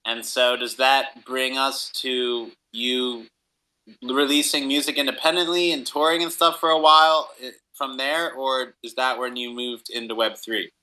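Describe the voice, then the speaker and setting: A man with a strikingly bright, forceful voice at a medium pitch. He hosts a relaxed, conversational interview, affirming the guest as he goes. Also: measured pace, low-quality recording. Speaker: radio show host and interviewer. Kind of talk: casual interview chat.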